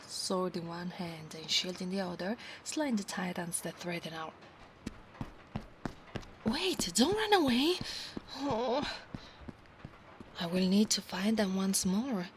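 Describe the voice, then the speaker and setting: A woman's voice: medium pitch, a little hiss or hum. A woman voicing a proud, insistent female centaur knight of a holy order in an ASMR roleplay, formal and commanding in her speech. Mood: hushed, solemn, then urgent, insistent. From Italy. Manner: formal, commanding, haughty, archaic phrasing.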